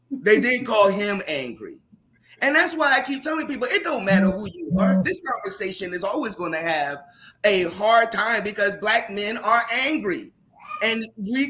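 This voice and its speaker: A man who is a teacher speaks in a panel discussion with a loud voice, passionate and emphatic. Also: sits high. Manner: impassioned, rising to emphatic questions.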